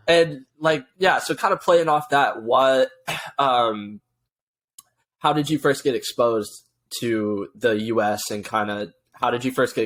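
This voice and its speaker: A man's voice: on the low side, fairly bright tone. A man hosting a podcast, asking informal, rambling questions in a casual conversational style. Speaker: podcast host. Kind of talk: casual interview chat.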